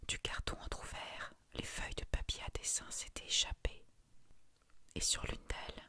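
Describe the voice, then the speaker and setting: A woman narrates a literary bedtime story entirely in a whisper. The sound is bright and crisp in its hiss, with a soft, hushed ASMR delivery meant for listening before sleep. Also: moderate pace, dry recording. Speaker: narrator reading a short story.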